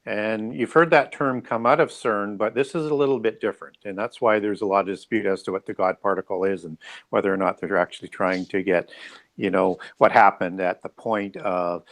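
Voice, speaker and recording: A man with a fairly low voice talks as the guest on an interview show. He lays out explanations step by step, in a halting manner, piecing each thought together as he goes.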